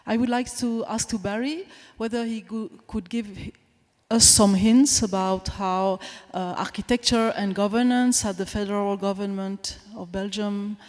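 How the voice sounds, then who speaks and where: A woman in the audience asking a panelist a question, phrasing it slowly and carefully. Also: mid-range voice, fairly even intonation, a little mellow. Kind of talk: conference question from the floor. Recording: distant voice with long hall reverb.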